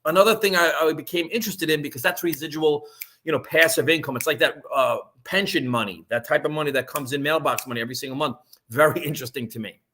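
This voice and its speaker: A man, talking casually on a live stream and pitching an online business. He rambles, restarts often and keeps checking in with "right?". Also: fast talker, sharp tone, a little hiss or hum. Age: 50s.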